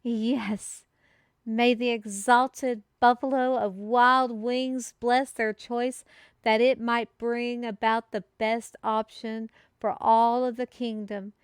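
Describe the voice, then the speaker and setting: A woman's voice: on the high side, little pitch movement, slow, clear, resonant tone, dry recording, studio quality. A woman playing an earnest, easily offended messenger who reads out a prophecy in a theatrical, solemn fantasy audio roleplay. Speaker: voice actor in solo audio roleplay. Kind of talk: theatrical one-sided audio roleplay for a listener.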